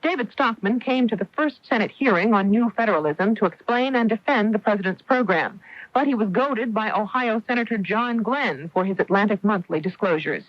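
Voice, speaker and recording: A woman's voice: mid-range voice, brisk, no room sound. An American woman delivering a scripted news report as a radio correspondent, on an old broadcast recording. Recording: dull lo-fi 1980s radio broadcast.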